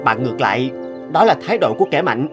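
A man's voice: medium pitch, lively intonation, fast talker, over loud music, natural voice. A man playing a poor, forgiving wandering woodcutter, polite and earnest, in a fairy-tale cartoon. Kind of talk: animated fairy-tale dubbing.

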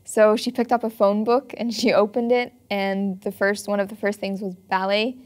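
A teenage girl from Switzerland, a ballet student, answering interview questions in a thoughtful, earnest way with frequent pauses. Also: mid-range voice, little pitch movement. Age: teens. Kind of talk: documentary interview. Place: bright ballet studio.

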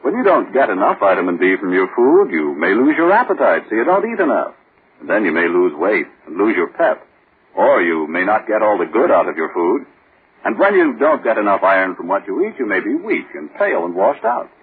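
A man's voice: on the low side, big pitch swings, fairly quick, slightly rough. A man announcing in the scripted style of a 1940s radio show. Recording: muffled, lo-fi old radio recording.

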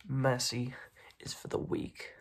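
A menacing, self-satisfied mob boss, played by a young man in an ASMR roleplay. He talks very slowly in halting, repeated phrases. His husky voice is full of creak and often sinks into a whisper, quietly intimidating. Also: medium pitch, expressive, heavily acted. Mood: smug. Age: young adult. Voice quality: breathy, raspy, often dropping into whisper. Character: smug, menacing mob boss recruiting a kid. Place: dim room at home, indoors.

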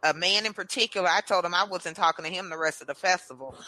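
A woman's voice: fairly low pitch, fast talker, sharp tone, low-quality recording. A woman hosting a casual radio talk show, playful and chatty, reading out listener comments as she goes. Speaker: host.

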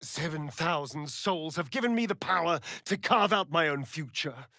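An actor playing a sardonic, flamboyant, seductive vampire rogue in a fantasy video game, with an arch, theatrical drawl. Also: male voice, fairly high pitch, lively intonation, moderate pace, bright, forceful tone, dry recording, clean studio sound. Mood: sly.

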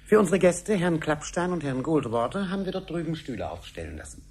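An actor playing a businesslike, courteous technical delegate with an official manner in a 1970s radio play. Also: male voice, on the high side, big pitch swings, brisk. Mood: businesslike, polite. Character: polite, businesslike male technical delegate at a control desk. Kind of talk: 1970s German radio drama acting. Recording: slightly noisy 1970s radio recording.

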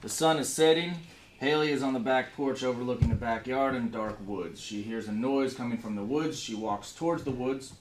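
A man's voice: medium pitch, moderate pace. A man leading a film table read as writer-director in an informal way, talking casually and reading script directions aloud. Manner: informal, profane. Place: meeting room with a conference table. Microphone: distant camera microphone. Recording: roomy, distant camera mic, background noise.

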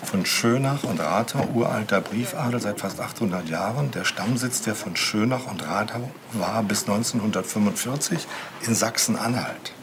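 A man's voice: lowish voice, moderate pace, background noise, lightly acted. A man playing a matter-of-fact police detective in a crime drama, explaining things dryly. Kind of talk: German TV crime drama acting.

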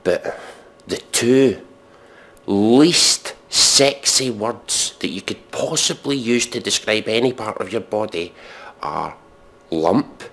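A man with a low-pitched voice delivers comic commentary. He reads in a mock-dramatic way, deadpan and sardonic. His manner is exasperated, with plenty of swearing. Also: slow. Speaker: comedic commentator.

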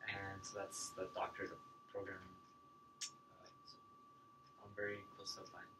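A man's voice: lowish voice, wildly swooping pitch, normal speed. A young male student briefly introducing himself in a classroom. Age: young adult. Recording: distant with loud background noise.

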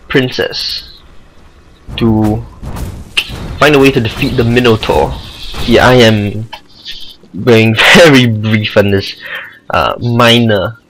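Singaporean guy casting a game match, excitable, rambles off topic, slight hiss behind. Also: mid-range voice, low-quality recording. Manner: excitable, rambling asides, self-deprecating. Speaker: game commentator. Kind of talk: casual amateur esports commentary.

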